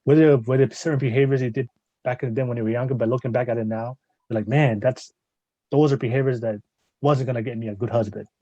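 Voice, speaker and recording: A man's voice: medium pitch, fairly even intonation, quick pace, mellow, no room sound, dull audio. A man hosting a podcast in a casual interview style, rambling as he repeats and rephrases what he says. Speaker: podcast host.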